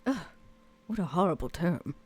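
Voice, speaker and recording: A woman's voice: on the low side, sing-song, normal speed, slightly rough, no echo. A woman playing a weary, proud club owner in a tabletop roleplay, speaking in a theatrical, hesitant way. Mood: wry.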